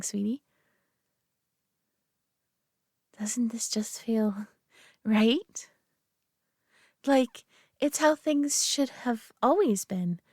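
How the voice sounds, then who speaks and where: A woman voicing a possessive, doting alien who coos over her pet human in an intimate roleplay audio drama, coddling and softly condescending. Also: a little high, dry recording, clean studio sound. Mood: tender, adoring.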